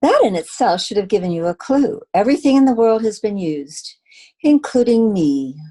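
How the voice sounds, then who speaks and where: A woman's voice: mid-range voice, lively intonation, normal speed, mellow, natural voice. A woman playing a detective's wry, sassy girlfriend in an old-time radio drama, with dry banter. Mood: wry, exasperated.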